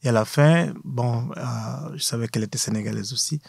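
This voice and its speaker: A man, a professor speaking in a measured, formal way in an interview. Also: medium pitch, vocal fry, darkish tone, no echo. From Senegal. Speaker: guest, university professor. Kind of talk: conversational interview.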